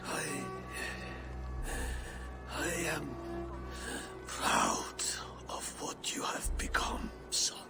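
Loud music sits behind a frail old Viking jarl on his deathbed. His speech is extremely slow and broken, swinging widely in pitch between breathy murmurs and proud, tender swells, as in a video game drama. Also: wildly swooping pitch, whispered, heavily acted. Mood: tender, proud, failing. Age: older.

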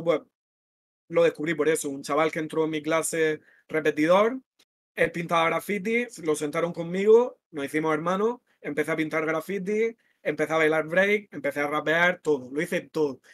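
A Murcian man in his late thirties speaks as a guest, relaxed and open, often calling the others brother. His voice is a touch higher than most men's. It sounds close and dry, with almost no room echo. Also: a little fast. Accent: Murcian Spanish. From Murcia, Spain. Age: 30s. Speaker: rapper and guest. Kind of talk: casual interview chat.

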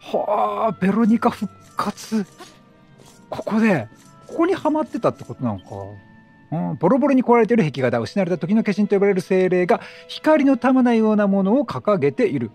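Male let's player: husky, high for a man, swooping, chatty slangy reactions. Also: big pitch swings, brisk, darkish tone, soft background music. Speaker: let's play commentator. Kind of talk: excitable game commentary.

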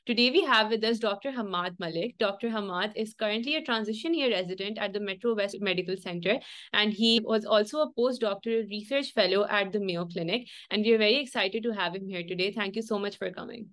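A young woman hosting an interview show from home over a video call, asking polite, brisk questions. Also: mid-range voice, fairly bright tone, no echo. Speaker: podcast host. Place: home room with bookshelf.

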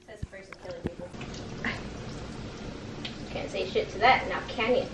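A young woman playing a deadpan, morbid killer animatronic rabbit who taunts bluntly in an improvised cosplay skit. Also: medium pitch, moderate pace, reverberant, close to an everyday voice. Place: home kitchen and dining room. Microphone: camera at a distance. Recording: distant camera audio, noisy home room.

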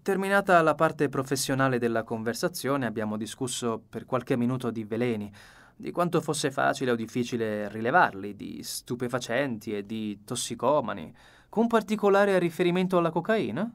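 A man with a mid-pitched voice narrates a novel as an audiobook. His storytelling is measured and expressive. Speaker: audiobook reader.

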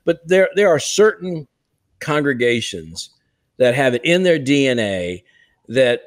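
A man's voice: mid-range voice, big pitch swings, a little slow, no room sound, studio quality. An older man speaking over a headset on a video call, co-hosting a casual podcast with earnest, emphatic encouragement. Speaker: church revitalization leader, co-host. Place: home room, indoors.

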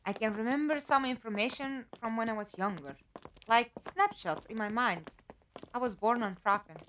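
A woman's voice: on the high side, normal speed, fairly bright tone, slight background noise, natural voice, low-quality recording. A woman acting a composed, logical amnesiac captive in a fan-made audio drama, with dry, formal wording. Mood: reflective, uneasy.

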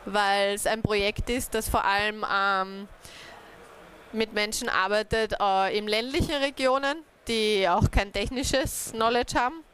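Young woman, headset mic, informal group talk, hesitant, many fillers, medium pitch, faint background noise. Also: unhurried, a bit bright. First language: German. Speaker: community network speaker. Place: dark event room, on stage.

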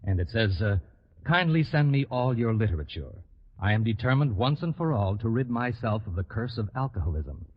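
Husky, formal indignant businessman. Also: on the low side, very animated. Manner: stiff, formal, deliberate phrasing. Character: formal, indignant businessman father of a high schooler. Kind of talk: 1940s radio sitcom acting. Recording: muffled 1940s radio recording.

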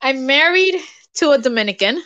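A woman's voice: high voice, lively intonation, normal speed, lo-fi sound, crowd noise. A woman from Puerto Rico with a Puerto Rican accent, co-hosting a live craft session over a video call, chatting casually and poking fun at herself. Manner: chatty, self-mocking, laughs often. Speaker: craft show co-host. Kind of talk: casual chat while crafting. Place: home craft table, indoors.

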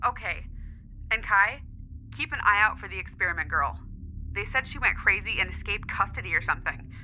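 A woman's voice: medium pitch, lively intonation, brisk, bright, forceful tone, slight background noise. A woman playing a casual crew pilot calling over a telephone-like comms radio in an audio drama. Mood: uncertain, casual.

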